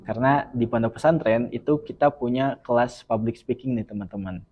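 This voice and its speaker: A young man from West Java, explaining earnestly and at a measured pace as a student guest on a podcast. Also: mid-range voice, lively intonation, vocal fry, highs cut off. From West Java, Indonesia. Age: young adult. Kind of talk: podcast interview.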